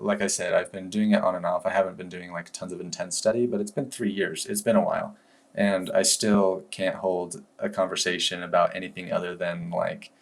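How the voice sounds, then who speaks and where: A man talking casually to camera as a language-learning vlogger, relaxed and rambling, with frequent fillers and restarts. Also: low-pitched, creaky. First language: English. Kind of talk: casual review talk to camera.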